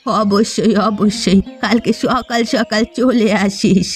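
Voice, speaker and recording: A woman's voice: mid-range voice, takes it slowly, soft background music, close to an everyday voice. A woman playing a poor, weary old village fisherwoman in a cartoon story, speaking in a plaintive, resigned, folksy way. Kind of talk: Bengali cartoon story dialogue.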